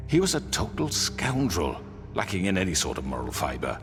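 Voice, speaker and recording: An actor playing a smooth, manipulative crime boss in a video game, with measured, theatrical speechifying. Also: male voice, low voice, huge pitch swings. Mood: smoothly defensive. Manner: measured, theatrical, silky self-righteous speechifying. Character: smooth, manipulative crime boss, self-justifying older man. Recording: reverberant hall sound under loud music.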